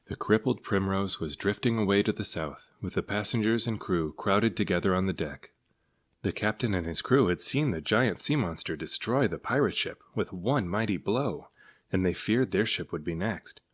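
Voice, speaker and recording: A man's voice: low-pitched, animated, normal speed. A man reading a children's storybook aloud as narrator. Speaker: storybook reader.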